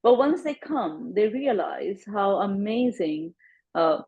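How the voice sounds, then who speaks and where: A woman psychologist as an interview guest, explaining her work in a relaxed way with everyday comparisons and often checking with "right?". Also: mid-range voice, takes it slowly, gravelly, slightly rough, muffled recording. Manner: explains with analogies, tags "right?". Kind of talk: casual interview chat.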